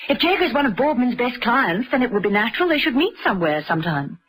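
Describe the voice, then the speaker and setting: Radio play: poised lady investigator, dry wit, animated pitch movement. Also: fast talker, rough recording. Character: cool, witty, sharp-eyed lady investigator. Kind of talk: vintage radio drama acting.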